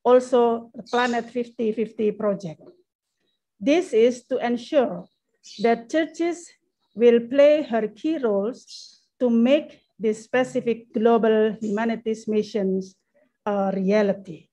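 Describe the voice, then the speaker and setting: A woman from Indonesia reads a prepared conference statement over a video call. Her voice is a bit high and mellow, and she leans on fillers once she leaves the script. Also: slow, low-quality recording. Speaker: church leader presenting. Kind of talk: formal conference presentation.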